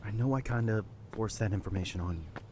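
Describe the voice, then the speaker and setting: A man's voice: lowish voice, quick pace, lightly acted. A man playing a concerned, apologetic character in audio drama dialogue, with noticeable noise behind him. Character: apologetic, concerned young man confronting a troubled girl.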